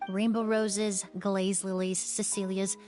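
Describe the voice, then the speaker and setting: An actress playing a composed, thoughtful young perfumer in a video game, speaking in a measured, polite and precise way. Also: female voice, medium pitch, flat delivery, warm tone, faint music behind, lightly acted. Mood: composed, explanatory.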